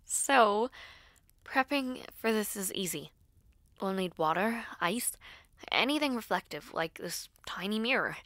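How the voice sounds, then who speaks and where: A woman acting an earnest, nervous school-age girl who dabbles in spells, speaking haltingly and intimately to the listener in an audio roleplay. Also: mid-range voice, lively intonation, no echo. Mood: busy, casual. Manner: hesitant, halting, soft-spoken asides.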